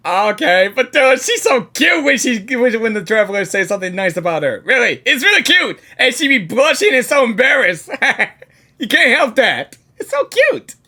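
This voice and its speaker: Excitable woman streamer, high bright voice. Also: normal speed, breathy edge. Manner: excitable, gushing, slangy. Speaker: livestreamer reacting to a game. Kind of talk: casual live reaction commentary. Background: game audio and music.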